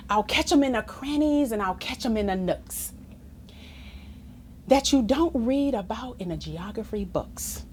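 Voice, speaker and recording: Very animated woman reading a children's story. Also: high voice, a little hiss or hum. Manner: theatrical, emphatic, playful. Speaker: story reader. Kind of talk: expressive children's read-aloud with asides to listeners.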